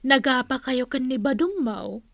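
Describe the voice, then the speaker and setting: A nosy but sympathetic village friend in a radio drama. Her voice is very high and closely recorded, with a northern Philippine accent. She speaks in a familiar, prying way. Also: lively intonation, measured pace, creaky, fairly bright tone, no echo, clean studio sound, full character voice. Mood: concerned.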